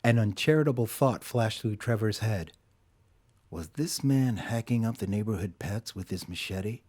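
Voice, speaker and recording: A male narrator for an audio drama, reading scripted prose. His voice sits on the low side, and he tells the story in a measured, literary way. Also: expressive, darkish tone, slightly rough.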